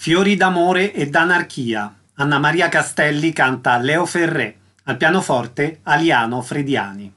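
A man's voice: a little high, a little slow, bright, forceful tone, faint music behind. A man reading a scripted announcement as a radio announcer.

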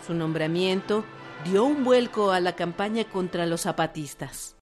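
A woman's voice: on the low side, unhurried. Woman narrating over faint music. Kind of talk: scripted documentary-drama narration.

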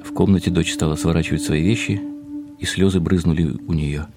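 A man narrating a first-person radio drama in an expressive, confiding, slightly wry way. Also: sits low, fairly quick, music in the background. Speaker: narrator and lead actor.

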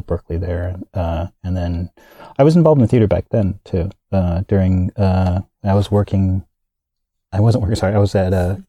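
A man's voice: deep voice, mellow, no room sound. A man being interviewed, talking casually and rambling, with many fillers and restarts. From United States. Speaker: guest.

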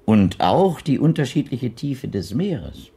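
An actor playing an old blind gentleman in a radio drama, courtly and gallant, with ornate, formal phrasing. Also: medium pitch, wildly swooping pitch, takes it slowly, vocal fry, slightly rough, heavily acted. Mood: insistent, lecturing. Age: older. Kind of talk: German radio drama acting.

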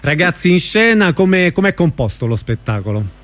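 A man's voice: a little high, sing-song, normal speed. A man working as a radio interviewer, asking questions in a conversational style.

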